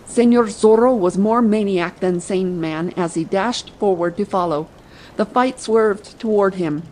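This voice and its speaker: A woman's voice: mid-range voice, measured pace, darkish tone, slight background noise, rough recording. A woman narrating a scripted adventure audiobook.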